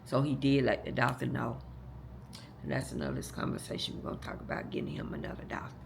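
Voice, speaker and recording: A hoarse, deeply gravelly woman tells her viewers a long personal story. She rambles, restarts her sentences and speaks to them directly as "y'all." A faint noise sits under the recording. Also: big pitch swings, fast. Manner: rambling, repeats and restarts, talks straight to "y'all". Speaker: vlogger.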